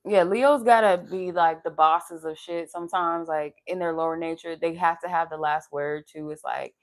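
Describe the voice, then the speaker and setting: Young adult woman, low pleasant voice, frank and profane, casual storyteller, close mic. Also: steady tone, normal speed. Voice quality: soothing, pleasant voice. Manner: laughs while talking. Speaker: live tarot reader. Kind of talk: casual live-stream chat.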